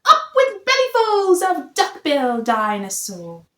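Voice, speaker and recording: A bossy young tyrannosaur girl from a picture book, performed by a woman shouts and taunts fiercely. Her voice is high and very animated, ringing in an echoey room. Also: slow pace, full character voice. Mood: warlike. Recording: roomy echo.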